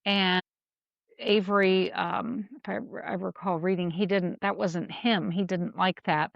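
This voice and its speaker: A woman with a low, gravelly creak co-hosts a casual fan chat. She talks haltingly, feeling for her words. The audio is dry and a little dull. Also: fairly even intonation, no room sound. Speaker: podcast co-host.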